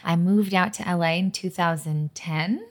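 A woman in her thirties from Massachusetts, with Polish as her first language, guesting on a podcast and telling stories in an animated, candid way. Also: on the low side, moderate pace. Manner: animated storyteller, candid and warm-hearted. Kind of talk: casual podcast chat.

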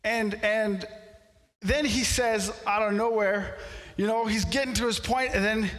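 A pastor whose first language is French, preaching a sermon in a storytelling way that builds to animated outbursts. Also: male voice, high-pitched, unhurried, big-room sound, rough recording. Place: church sanctuary.

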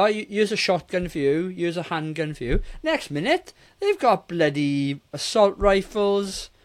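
Slightly higher-voiced man chatting, exasperated and sweary. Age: 40s. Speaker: film review podcast host. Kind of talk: casual opinionated chat.

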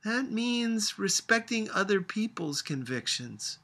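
Male reader as old grandmother, feisty, argumentative, storybook character, higher pitch, forceful tone. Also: big pitch swings, slow, exaggerated voice. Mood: heated, argumentative. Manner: emphatic, argumentative.

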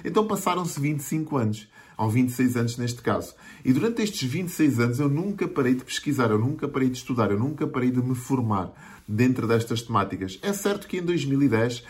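A man's voice: medium pitch, animated, fairly quick, darkish tone. A man in his forties with a European Portuguese accent, a marketing mentor giving an earnest motivational talk to camera and building his points through repeated lists. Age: 40s.

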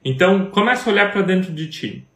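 An earnest Brazilian man co-hosting a talk on marriage, speaking in a preacherly way and asking question after question. His voice sits a little high for a man. The sound carries a roomy echo. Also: expressive, brisk. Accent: Brazilian Portuguese.

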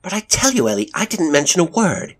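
Man voicing an agitated, high-strung young mother. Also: big pitch swings, brisk, slightly rough. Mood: upset, pleading. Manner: agitated, emphatic. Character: distraught, defensive young mother, quick to anger.

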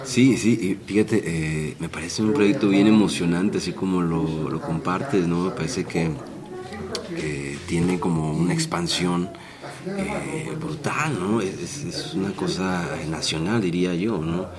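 Deep voiced guy asking questions, halting, loud crowd behind. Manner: hesitant, many fillers and restarts. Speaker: podcast host, interviewer. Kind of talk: conversational interview. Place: book fair. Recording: busy fair crowd behind. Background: crowd chatter.

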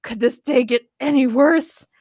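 A woman storyteller voicing a gruff, irritable male fighter in a growling, sarcastic manner. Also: high-pitched, measured pace, darkish tone, dry recording, studio quality, pitched above the performer's own voice. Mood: exasperated.

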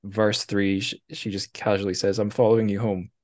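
Male podcast host, notably deep voice, chatty and informal, feels his way through sentences with self-corrections. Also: level tone, moderate pace, a little mellow. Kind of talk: casual interview chat.

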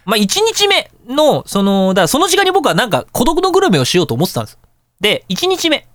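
Very animated, fast-talking male radio host. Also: extremely high pitch, no room sound, studio quality. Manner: animated, chatty storytelling with colloquial asides. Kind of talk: casual radio talk, telling anecdotes.

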